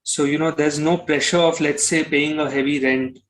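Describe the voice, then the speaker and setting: A man's voice: on the high side, fairly even intonation, moderate pace. A man from Kolkata with an Indian accent, answering interview questions casually, often circling back over his phrasing with "you know". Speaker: musician interview guest.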